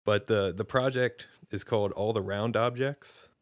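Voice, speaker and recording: A man's voice: lowish voice, fairly even intonation, moderate pace, no room sound. A man hosting a podcast over a video call, chatting casually with lots of restarts and hesitant questions. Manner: rambling, hesitant, many restarts and laughs. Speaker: podcast host.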